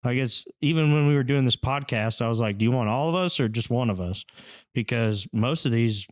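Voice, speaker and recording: A laid-back man talking about his music in an easygoing, humble way. His voice sits at an ordinary middle pitch for a man. It is recorded dry and close, with no room sound. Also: a little fast, no room sound. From Texas. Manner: easygoing, modest, plain-spoken. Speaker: band lead singer, podcast guest. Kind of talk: casual chat.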